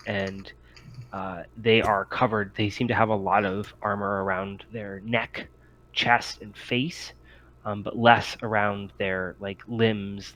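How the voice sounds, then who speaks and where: Male game master, lowish voice, improvised narration, explanatory, halting phrasing. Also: a bit bright.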